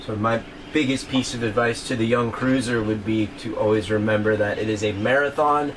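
A man's voice: fairly low pitch, steady tone, fairly quick. A young man, a boat owner and former jet ski guide, answering questions in an easygoing way inside a small boat cabin. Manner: easygoing, chill. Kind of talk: casual interview answers. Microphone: camera across the table. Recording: distant camera mic with noticeable noise.

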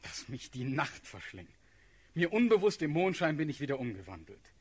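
An actor playing a dreamy, lovesick, bewildered young prince in a classical German radio drama, breathy and husky. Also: male voice, a little high, very animated, moderate pace. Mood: dazed.

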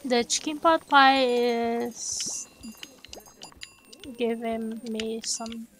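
A Brazilian woman whose first language is Portuguese, commenting slowly and haltingly in English as she plays and thinks aloud. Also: fairly high pitch, steady tone, a bit bright, faint music behind. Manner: slow, halting, thinking aloud while playing. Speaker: gaming channel host. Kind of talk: gameplay commentary.

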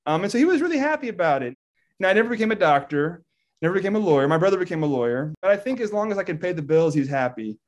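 A man in his thirties from Texas with a slightly high voice, chatting animatedly as a guest on a video call. He laughs easily, fills pauses with ums and restarts his thoughts. He is heard through a webcam microphone in an indoor room. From Texas, United States. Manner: animated, laughs often, many fillers and restarts. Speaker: guest scholar. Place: indoor room with plain wall.

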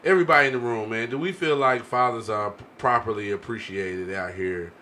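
A man's voice: lowish voice, brisk, sharp tone, slight background noise. A man hosting a podcast casually, speaking colloquially with plenty of slang. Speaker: podcast host. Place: small home-style podcast studio. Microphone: podcast microphone on boom arm.

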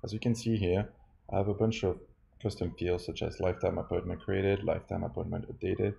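A man narrating a step-by-step software tutorial as a demo presenter. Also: deep voice, fairly even intonation, fairly quick, creaky, muffled recording. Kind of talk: scripted tutorial narration over a screen recording.

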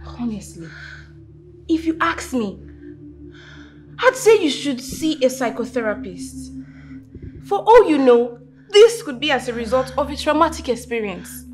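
A blunt, concerned Nigerian friend in a film drama. Her voice is high and moves up and down freely. Faint music sits behind her. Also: big pitch swings, measured pace, pauses often, darkish tone. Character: concerned, blunt female friend giving advice. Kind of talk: screen drama dialogue.

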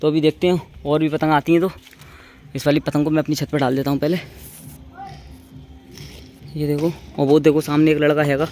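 A man's voice: a little high, little pitch movement, brisk, long pauses, a little mellow, soft background music. A young man giving casual, repetitive running commentary to his viewers, speaking informally. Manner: informal, addresses viewers as friends, repeats phrases. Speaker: vlogger. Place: outdoors on open rooftops. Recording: noisy open-air handheld recording.

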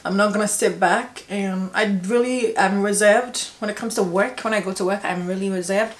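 A woman's voice: medium pitch, reverberant, slight background noise. A woman in her twenties with a Ghanaian accent, chatting emphatically and laughing often while doing her makeup on camera. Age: 20s. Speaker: beauty vlogger. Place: small indoor room.

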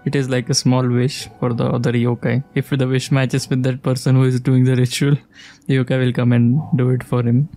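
A woman's voice: rumbling low, little pitch movement, fairly quick, mellow. A woman giving casual, giggly playthrough commentary, reacting aloud to a video game. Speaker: gaming streamer. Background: game music.

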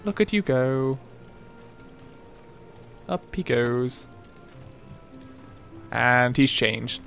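A man's voice: mid-range voice, lively intonation, very slow, gravelly, a bit bright. A man giving casual commentary over a video game. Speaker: let's play commentator. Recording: game music behind the voice. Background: video game music.

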